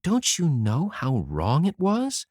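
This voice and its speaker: A reader doing a gentle young schoolteacher. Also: medium pitch, huge pitch swings, slow, no room sound, studio quality, pitched above the performer's own voice, heavily acted. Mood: sorrowful. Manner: soft, sorrowful, earnest. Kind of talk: audiobook character voice.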